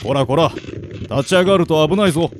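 A man's voice: a little high, fairly quick, noisy background, lightly acted. A man playing a polite, protective attendant in a radio drama, courteous and explanatory. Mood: courteous, caring. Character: polite, protective male attendant to young girl. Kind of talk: Japanese radio drama acting. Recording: music under the voice.

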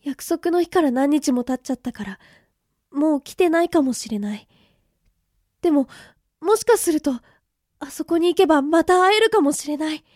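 A woman's voice: sits high, expressive, moderate pace, pauses often, a little mellow, no echo, studio quality. A woman playing a tender, wistful twenty-year-old village girl in a Japanese radio drama, full of longing. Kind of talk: radio drama acting with inner monologue.

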